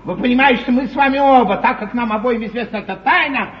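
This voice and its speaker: An actor playing a suave, teasing aristocratic schemer in a theatrical court comedy, with a mocking, courtly, drawn-out delivery. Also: male voice, very high voice. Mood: mock-reasonable. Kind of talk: 1950s theatrical comedy stage acting. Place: large theatre stage before an audience. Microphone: distant stage microphone. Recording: muffled, noisy 1950s live theatre recording, distant.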